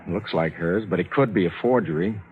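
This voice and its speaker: An actor playing a skeptical, rational lawyer and loyal friend in a 1950s radio drama, speaking in a measured, matter-of-fact, argumentative way. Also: male voice, low voice, lightly acted. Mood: doubting, concerned. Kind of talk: 1950s radio drama acting, first-person narration and dialogue. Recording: muffled vintage 1950s radio recording.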